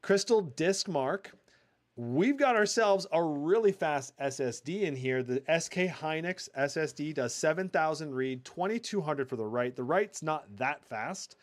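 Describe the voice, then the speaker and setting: A man hosting a live tech review, a loud voice, chatting casually through benchmark numbers with plenty of fillers. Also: on the high side, expressive, a little slow.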